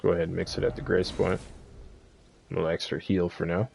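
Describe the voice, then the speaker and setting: A man's voice: deep voice, little pitch movement, fairly quick, gravelly, a little mellow, a little hiss or hum. A man giving a casual, laid-back, rambling walkthrough of a video game as a streamer.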